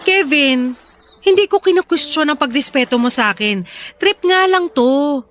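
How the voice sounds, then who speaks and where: A woman's voice: high-pitched, expressive, moderate pace, fairly bright tone, slight background noise. An actress with a Filipino accent playing an insistent wife who pleads with and coaxes her reluctant husband in a radio drama. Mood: insistent, coaxing.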